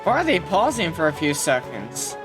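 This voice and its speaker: A man's voice: a little high, huge pitch swings, normal speed, over music. A man casually reacting aloud as he plays a game, in short asides. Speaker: gaming video creator.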